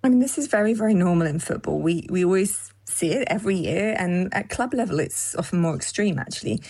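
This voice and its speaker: Measured, low-voiced female psychologist. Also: animated, a little mellow, husky, no echo. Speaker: psychologist, interview guest. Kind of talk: interview answers. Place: London.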